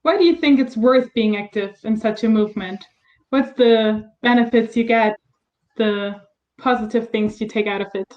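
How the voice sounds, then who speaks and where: A woman moderating an online panel discussion, asking polite, measured questions. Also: a little high, a little mellow. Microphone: video call. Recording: dull video call audio.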